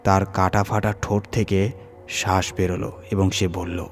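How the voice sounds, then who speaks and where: A man's voice: low-pitched, flat delivery, moderate pace, gravelly, slight background noise. A man reading a scripted horror story as its narrator, in a dramatic storytelling style.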